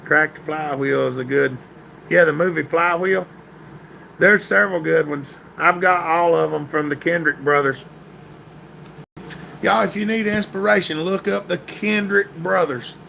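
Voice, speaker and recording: A man, hosting a casual live stream and answering viewers by name, his voice getting hoarse and stuffy. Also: a little high, a little slow, sharp tone, slight background noise, low-quality recording. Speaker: livestream host reading chat. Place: indoor workshop room, sitting at a metal table.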